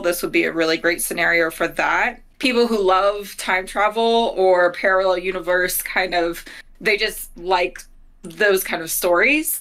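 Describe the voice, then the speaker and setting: Bright, lowish-voiced woman giving feedback. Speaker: player and reviewer. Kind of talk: casual review discussion. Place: small home office on a video call.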